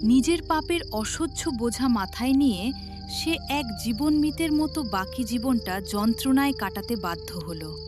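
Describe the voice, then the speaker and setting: A woman's voice: a little high, expressive, a little slow, music in the background. A woman narrator reading a scripted story in a dramatic storytelling style.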